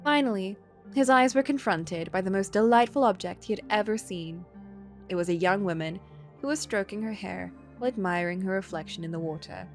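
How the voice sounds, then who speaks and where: A woman's voice: medium pitch, brisk. Woman reading a story over music. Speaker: livestreamer reading a visual novel aloud. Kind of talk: story narration mixed with casual stream commentary.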